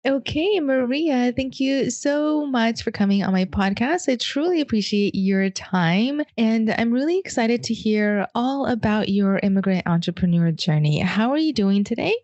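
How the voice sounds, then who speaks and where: A woman's voice: a little high, expressive, normal speed, hardly any pauses, darkish tone, highs cut off. A woman hosting a podcast, reading a scripted introduction and then interviewing her guest in an upbeat, eager manner. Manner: upbeat, eager, frequent "awesome". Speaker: podcast host.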